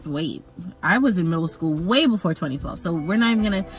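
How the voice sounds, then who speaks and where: A young woman who grew up in Jamaica, telling a personal story in a chatty, animated vlog with jokey asides. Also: on the low side, fast, soft background music. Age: young adult. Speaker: vlogger.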